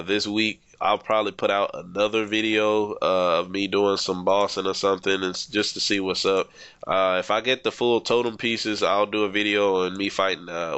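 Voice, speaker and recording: A young man with a stuffy nose rambles casually over his gameplay, peppering every thought with "man" and swearing. His voice is low-pitched and smooth. The sound is dry and close, with no room around it. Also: fairly bright tone, no echo. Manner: laid-back, rambling, swears freely, says "man" a lot. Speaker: gaming YouTuber.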